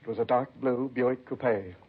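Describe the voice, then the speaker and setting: An actor playing a fussy, self-important and indignant bank manager in a 1950s radio police drama. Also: male voice, mid-range voice, fairly even intonation, unhurried, husky. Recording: dull vintage 1950s radio recording.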